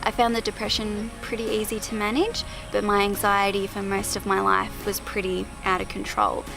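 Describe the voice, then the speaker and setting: A woman speaks in a calm, measured, even tone, with plenty of vocal fry. Her pitch barely moves, and music plays behind her. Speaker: ceremony participant. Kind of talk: personal testimony.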